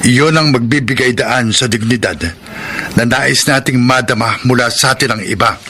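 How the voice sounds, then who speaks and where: An actor playing an upright, dignified construction worker in a Filipino radio drama, speaking earnestly. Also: male voice, medium pitch, normal speed, over music, close to an everyday voice. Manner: earnest, principled. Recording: noisy radio drama recording.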